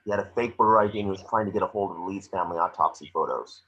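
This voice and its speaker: A man's voice: deep voice, racing, a bit bright. A man playing a blunt, commanding FBI chief in a screenplay table read. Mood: businesslike. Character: gruff, hard-nosed male FBI chief, blunt and commanding. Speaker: amateur actor in an online table read. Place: remote video call. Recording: muffled video call audio.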